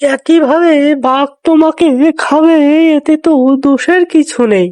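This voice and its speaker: A man's voice: very high voice, fairly even intonation, unhurried, music in the background. A man voicing a self-righteous talking crow who judges with a grievance in a cartoon. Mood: aggrieved, preachy. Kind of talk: cartoon voice acting.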